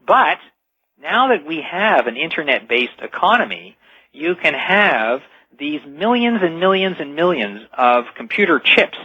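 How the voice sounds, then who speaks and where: A man's voice: fairly high pitch, pushed and bright, husky, dry recording. A man speaking as an expert guest in a radio interview, explaining things emphatically and step by step. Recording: dull lo-fi radio sound.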